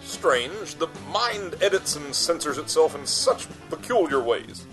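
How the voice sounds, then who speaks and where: A man's voice: fairly high pitch, animated, a little slow, over music, poor audio. A man playing a pompous, self-loving small-town mayor with florid speech in a comic radio commercial.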